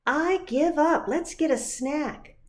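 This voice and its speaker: Woman acting a high-pitched, emphatic little girl. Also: slow, darkish tone. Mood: eager, stubborn. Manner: emphatic, exclamatory. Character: plucky little girl, eager, stubborn and emphatic. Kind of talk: children's picture book read-aloud.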